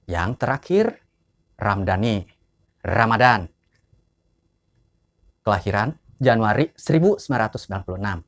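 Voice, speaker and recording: A man's voice: lowish voice, animated, normal speed, long pauses. A man, giving an informal biographical narration as he lists names and years. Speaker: narrator of family history.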